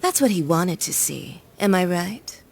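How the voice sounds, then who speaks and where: Icy game villainess: lowish, very slow, condescending, imperious; faint noise behind. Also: female voice, sing-song, full character voice. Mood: mocking. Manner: condescending, deliberate, mocking. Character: cold, haughty villainess, commanding and contemptuous. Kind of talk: video game voice acting.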